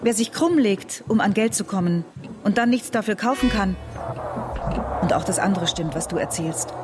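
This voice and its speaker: An actress playing an earnest, conciliatory liberal woman in a German radio drama, reasoning in a measured way. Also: medium pitch, animated, natural voice. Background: music.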